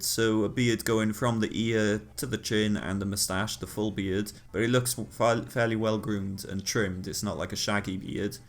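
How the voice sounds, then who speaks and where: A man co-hosting a podcast in casual, playful chat, quick to laugh and riff. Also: fairly low pitch. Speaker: podcast co-host.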